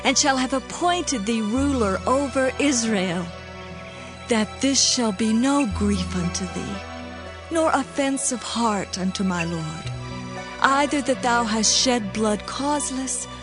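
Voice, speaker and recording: A devout, humble wife in an audio Bible drama. She pleads in a fairly high, measured voice, slow and formal, over prominent music. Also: lively intonation. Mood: humble pleading. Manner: earnest, formal supplication. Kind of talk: dramatized audio Bible acting in archaic English.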